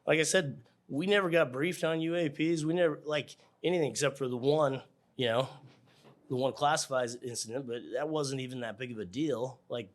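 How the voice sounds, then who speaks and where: A man's voice: mid-range voice, a little fast. A middle-aged American man giving casual, informal interview answers, chuckling and acting out bits of dialogue as he explains. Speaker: air traffic controller, interview guest.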